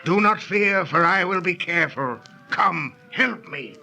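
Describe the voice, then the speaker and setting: A man playing a stealthy, scheming villain, grimly bold, with deliberate declamatory speech in a 1930s radio drama. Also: high-pitched, lively intonation, creaky, pushed and bright, full character voice. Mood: conspiratorial, urgent. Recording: noisy old 1930s radio transcription.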